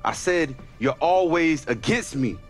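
A man's voice: a little high, expressive, a little slow, soft background music. A man playing an earnest, uneasy young rookie football player in a cinematic game, speaking politely and hesitantly. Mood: concerned, frustrated. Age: young adult. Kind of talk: naturalistic game acting.

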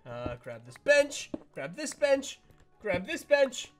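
A woman playing an excitable, jumpy animatronic fox in a chatty, theatrical Minecraft roleplay. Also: high-pitched, slow pace, soft background music, exaggerated voice. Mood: playful panic. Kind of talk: improvised Minecraft roleplay for a family audience.

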